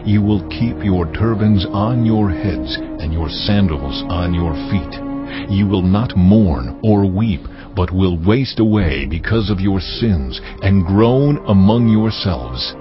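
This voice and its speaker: An actor playing a stern, wrathful God, speaking gravely and commandingly in a dramatized audio Bible. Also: male voice, deep voice, expressive, takes it slowly. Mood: solemn, stern. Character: God, stern wrathful sovereign Lord pronouncing judgement. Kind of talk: dramatized audio Bible, solemn scripture oration. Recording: dull, muffled audio with music. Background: cinematic music.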